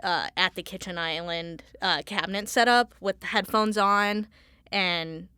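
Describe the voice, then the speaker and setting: A woman speaking as a guest in a casual interview, informal and halting as she thinks aloud. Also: medium pitch, pushed and bright, clear, resonant tone, no echo, studio quality. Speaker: Foley artist, interview guest.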